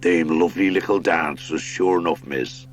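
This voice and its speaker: A man voicing a kindly rustic mole cook in an audiobook, gruff, with homely dialect speech. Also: sits low, moderate pace, faint music behind. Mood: fond. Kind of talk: audiobook character acting.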